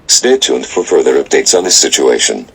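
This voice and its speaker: A man's voice: extremely low pitch, big pitch swings, moderate pace, vocal fry, slightly rough, poor audio. A man reading out a scripted civil emergency announcement.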